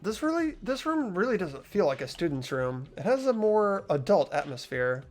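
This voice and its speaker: Amateur acting a hesitant schoolboy, earnest, lively intonation, thinking aloud, visual novel game, faint music behind. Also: medium pitch, normal speed, pitched above the performer's own voice, natural voice. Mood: tentative, earnest. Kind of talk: visual novel voice-over reading.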